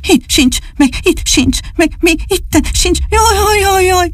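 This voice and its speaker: A woman voicing a small, excitable little dog that whines and sulks in a storybook reading. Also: extremely high pitch, no room sound, clean studio sound, voice pushed up. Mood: wailing. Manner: whiny, sulky and excitable.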